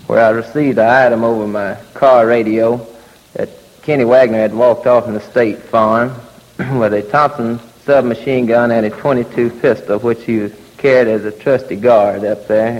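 A man's voice: mid-range voice, darkish tone, in a large hall. A man giving a prepared report as a patrol officer, on a dull old radio recording. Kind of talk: prepared first-person report.

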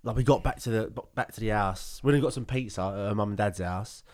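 A man's voice: on the low side, fast talker, a little mellow. A young man, co-hosting a podcast in casual banter, rambling, laughing often and restarting his sentences. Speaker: podcast co-host.

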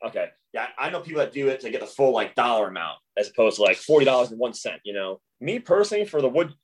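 A man's voice: medium pitch, very animated, fast, husky. A man co-hosting a podcast in casual chat, rambling eagerly and full of asides. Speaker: podcast co-host.

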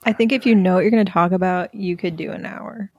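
Teasing teenage girl, slightly gravelly. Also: lowish voice, fairly even intonation, fast talker, a little mellow, no echo, highs cut off. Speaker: podcast guest. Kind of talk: casual chat among friends.

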